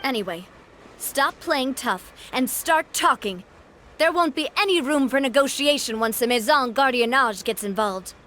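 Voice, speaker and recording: A woman's voice: high-pitched, expressive, moderate pace, bright, forceful tone, a little hiss or hum. An actress playing a confident, poised young woman who leads a syndicate in a video game. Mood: stern. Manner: poised, assertive. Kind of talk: video game character acting.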